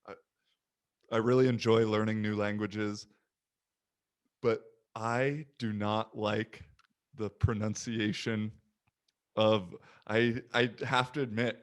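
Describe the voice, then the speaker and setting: A young man hosting a podcast, chatting casually and animatedly, with quick asides and little voice impressions in his stories. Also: fairly low pitch, steady tone. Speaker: podcast host. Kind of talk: casual conversation.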